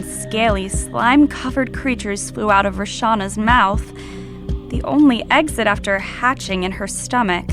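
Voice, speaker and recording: An actress playing a gleefully ghoulish monster who hosts a horror anthology, theatrical and relishing every word. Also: female voice, medium pitch, close to an everyday voice. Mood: gleefully queasy. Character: gleefully ghoulish monster storyteller who savours creepy tales. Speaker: podcast host. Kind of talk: horror anthology host narration.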